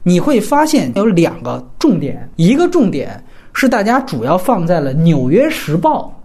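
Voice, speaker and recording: Animated, slightly husky man discussing film. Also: high voice, moderate pace. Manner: analytical, emphatic, methodical point-by-point explaining. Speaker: film podcast host.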